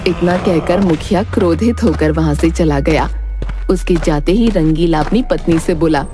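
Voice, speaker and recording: A woman's voice: lowish voice, normal speed, music in the background. A woman reading scripted narration for a moral folk story.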